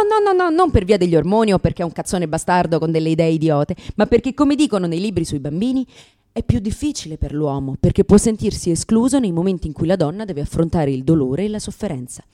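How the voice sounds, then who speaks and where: A woman's voice: on the low side, sing-song, fast talker, warm tone. Woman doing a fed-up mom in her 30s, sarcastic and brash, voice all over the place, radio theatre monologue. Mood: indignant, sardonic. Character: sardonic, foul-mouthed, exasperated new mother in her thirties.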